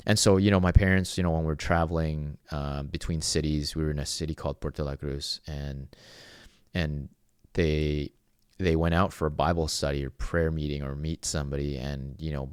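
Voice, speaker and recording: A man's voice: a bass voice, no echo, clean studio sound. A man from Venezuela hosting a podcast alone, telling a personal story in an earnest, reflective and rambling way. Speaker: podcast host. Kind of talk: solo podcast monologue.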